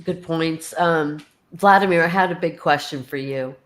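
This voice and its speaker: A woman's voice: low voice, moderate pace. A woman moderating a panel discussion, posing questions in a playful, teasing way.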